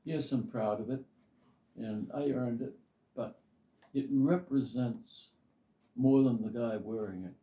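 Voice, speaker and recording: An older man with a Boston accent, reminiscing in a life story interview in a halting, meandering way. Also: medium pitch. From Boston area, United States. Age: older, 70s or above. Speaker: interviewee, retired army officer. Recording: roomy, distant, slightly muffled.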